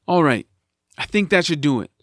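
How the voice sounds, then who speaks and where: A man's voice: fairly high pitch, animated, normal speed, a bit bright, no echo, clean studio sound. A man acting an earnest, kind-hearted young adventurer who also narrates in an audio drama. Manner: earnest, eager.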